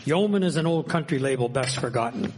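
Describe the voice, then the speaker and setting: A man playing an earnest, politically minded gentleman of the 1870s in a radio drama, formal and argumentative. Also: mid-range voice, animated, brisk, natural voice. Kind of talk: scripted period radio drama. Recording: echoey hall, clear background noise.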